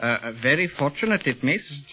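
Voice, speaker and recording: A man playing a polite, slightly halting Chinese tea house owner and secret operative in a 1930s radio serial. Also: a little high, animated, slightly rough. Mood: grave. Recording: dull old radio recording with music.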